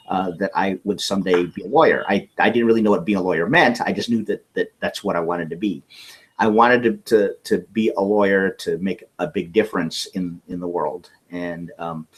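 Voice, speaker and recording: A man from California with a lowish voice speaks as an interview guest. He tells his life story earnestly and in conversation, often stumbling and restarting words as he thinks. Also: slightly rough, rough recording.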